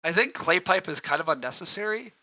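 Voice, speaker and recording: A man with a rough, hoarse voice, streaming a board game, thinking aloud through his choices and answering chat as he clears his throat. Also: high-pitched, expressive, fairly quick, sharp tone.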